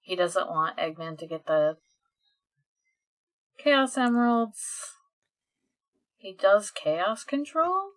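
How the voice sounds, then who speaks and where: A woman's voice: mid-range voice, sing-song, slow, long pauses, fairly bright tone. A woman co-hosting a podcast, chatting in an informal, conversational way. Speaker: podcast co-host. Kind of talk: casual chat.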